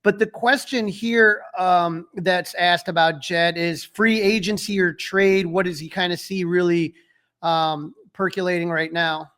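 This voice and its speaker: A man's voice: high voice, fairly even intonation, measured pace, fairly bright tone. A man co-hosting a sports podcast, talking in a casual, conversational style. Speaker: podcast co-host.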